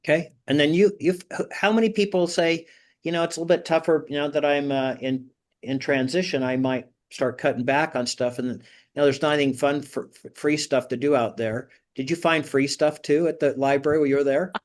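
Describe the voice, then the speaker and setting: A man's voice: on the high side, steady tone, fairly quick. A man hosting a job-search group, teaching informally and prompting participants with questions.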